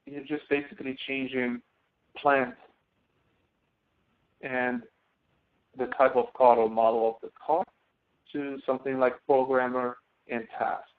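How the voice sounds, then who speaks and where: A male instructor heard through a thin, phone-like connection. He talks through an on-screen software demonstration in a halting, step-by-step way, as in a live online lecture. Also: mid-range voice, level tone. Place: online screen-share session.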